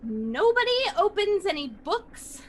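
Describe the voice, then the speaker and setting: A woman playing a tabletop roleplaying game, improvising in character in a halting, particular way. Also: extremely high pitch, sing-song. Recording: faint background noise.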